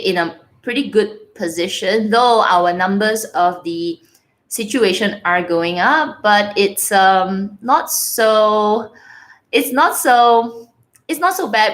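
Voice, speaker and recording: Young malaysian woman w singlish bits, chatty livestream host, laughs a lot, mid-pitched voice. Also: measured pace, a bit bright. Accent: Malaysian English with Singlish. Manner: chatty, laughs often, reads viewer comments aloud. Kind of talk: casual livestream chat.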